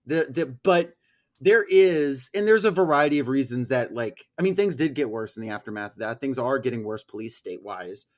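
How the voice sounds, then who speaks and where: An American man hosting a casual political discussion, talking in a halting way with lots of filler words. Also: mid-range voice, expressive, darkish tone. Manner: halting, filler-heavy, peppered with "like". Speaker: podcast host.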